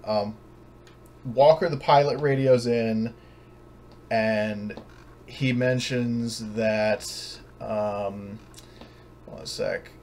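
A man running a tabletop game as game master, casually narrating and explaining in a halting, hesitant way. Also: on the low side, darkish tone. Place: online voice call, room with background noise. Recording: clear background noise on voice chat.

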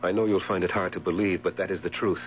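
A lowish-voiced, bitter and haunted husband, acted by a man in theatrical 1970s radio drama. His delivery is emphatic and irritable, on a narrow-band recording with a clear hum. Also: steady tone, fast, close to an everyday voice. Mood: irritated, bitter. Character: irritable, haunted, hateful widowed husband Ernest.

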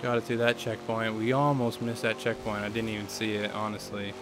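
A young man with a low, cold-scratched voice chats his way through a racing game, full of ums and restarts. The game's engines and tires are clearly heard under him. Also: level tone, a little fast. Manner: chatty, filler-heavy. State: hoarse from a cold. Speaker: gaming YouTuber. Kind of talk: casual let's play commentary. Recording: voice over loud game car noise.

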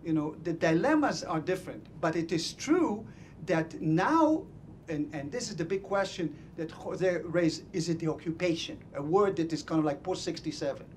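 A middle-aged man with an Israeli accent argues his view emphatically into a handheld mic in a conference room. He sounds opinionated and unscripted, with a faint rumble behind him. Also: expressive, moderate pace. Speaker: audience member and scholar commenting at a panel. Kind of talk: impromptu argumentative comment at an academic discussion. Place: conference room full of seated audience. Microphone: handheld microphone.

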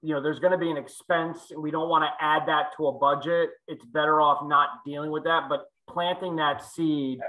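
A man on a video call weighing budget timing out loud, hesitant and full of fillers. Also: fairly high pitch, flat delivery, normal speed, hardly any pauses, low-quality recording. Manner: hesitant, musing, many fillers. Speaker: committee member. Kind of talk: meeting discussion.

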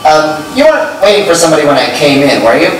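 A man's voice: a little high, sing-song, fast. A young man acting in a live stage comedy as an awkward, eager would-be suitor, talking hesitantly. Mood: persistent, awkward. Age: young adult. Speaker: stage actor. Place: theatre stage. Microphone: far camera. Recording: echoing stage, distant mic, clear noise.

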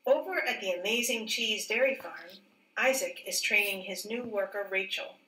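A woman teacher reading a picture book aloud in a careful, expressive storybook manner. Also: medium pitch, moderate pace. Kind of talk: read-aloud storybook narration. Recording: roomy echo, distant mic.

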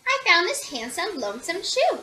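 A young woman reading a children's picture book aloud with expressive storytelling. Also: very high-pitched, moderate pace. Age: young adult. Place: small room indoors.

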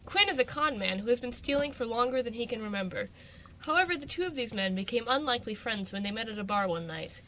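A young woman with a fairly high voice reads a prepared story summary as a narrator. There is faint noise behind her on the recording. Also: lively intonation, quick pace, pushed and bright, low-quality recording. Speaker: student radio show cast member reading the recap. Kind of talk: scripted narration, story recap read aloud.

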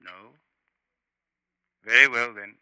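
An actor in a 1950s radio drama playing a genteel, evasive professor in debt with murder in him, suavely polite and theatrical. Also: male voice, mid-range voice, fairly even intonation, takes it slowly, pushed and bright, smooth, no echo, poor audio. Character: genteel, slippery debtor professor turned murderer. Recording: vintage 1950s radio recording.

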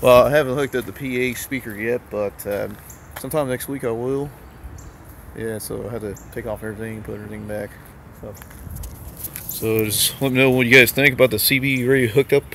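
A man's voice: mid-range voice, fairly even intonation, a little fast, a bit bright, a little hiss or hum. A man giving a casual, off-the-cuff show-and-tell, hesitant and thinking aloud, recorded on a handheld camera in and around a parked car. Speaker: vlogger. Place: in a parked car and just outside it.

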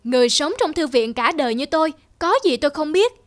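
A woman's voice: sits high, expressive, fast, background noise. A woman voicing a pompous, boastful male mouse in a cartoon. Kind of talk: cartoon voice acting.

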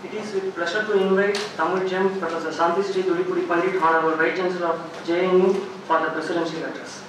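A high-voiced man introduces the next speaker quickly and formally, in a flat tone. He is far from the microphone in an echoey room with background noise. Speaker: master of ceremonies. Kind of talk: formal announcement.

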